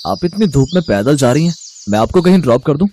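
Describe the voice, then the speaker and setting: A man's voice: mid-range voice, sing-song, fast, dark tone, slight background noise, close to an everyday voice. A man playing a decent young husband in a story, earnest and disapproving. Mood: polite. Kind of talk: Hindi moral-story cartoon voice acting.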